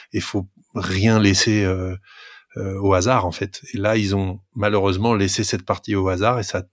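Low-voiced man talking sport, dry close sound. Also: no room sound. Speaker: rugby podcast co-host. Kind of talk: opinionated sports discussion, casual chat.

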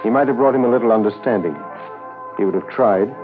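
An actor narrating a 1950s radio drama as a sober, reflective Mountie, measured and formal. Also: male voice, lowish voice, phone-quality sound, music in the background, natural voice. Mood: reflective, regretful.

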